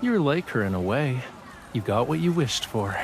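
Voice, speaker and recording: A man's voice: mid-range voice, very animated, moderate pace, gravelly, a little hiss or hum. A man playing a playful, affectionate young artist boyfriend who teases smugly in a romantic game audio drama. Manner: teasing, playful, smug.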